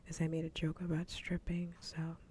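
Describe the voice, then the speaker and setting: Female ASMR host, laid-back breathy whisper, dark relaxed tone, even delivery, casual chat. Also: sits low, moderate pace, slight background noise. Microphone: close ASMR microphone.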